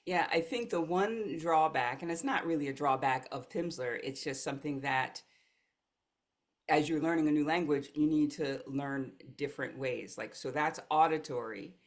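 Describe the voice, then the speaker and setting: A woman's voice: low-pitched, moderate pace. An American woman, a life coach, telling her story as a podcast guest in an earnest, reflective way. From United States. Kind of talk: podcast conversation.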